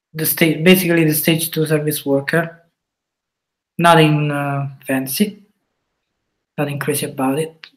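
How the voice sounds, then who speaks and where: A man with an Italian accent, a native Italian speaker, hosting a webinar and walking through a coding project, pausing often to think aloud. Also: fairly high pitch, low-quality recording. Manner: halting, thinks aloud with fillers. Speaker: webinar presenter. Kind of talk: live coding walkthrough.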